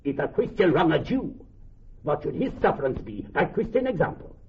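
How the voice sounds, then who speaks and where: A man's voice: fairly high pitch, sing-song, brisk. A wronged, vengeful moneylender in a classical radio drama. His rhetorical delivery leaps and plunges through a broad range. The sound is a little muffled. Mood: vengeful fury. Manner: declamatory, rhetorical, building in repetition. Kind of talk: classical Shakespearean radio acting.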